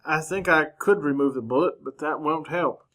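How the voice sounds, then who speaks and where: An actor playing a courteous gentleman journalist in a radio western, speaking in measured, formal, precise words. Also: a little high, slight background noise. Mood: concerned, firm. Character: proper, courteous gentleman journalist narrating his western travels. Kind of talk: old-time radio drama narration and dialogue.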